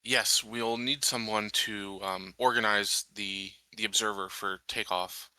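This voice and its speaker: A man playing a tabletop roleplaying game, thinking aloud casually in halting phrases. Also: fairly low pitch, fairly even intonation, bright, forceful tone, dry recording, low-quality recording.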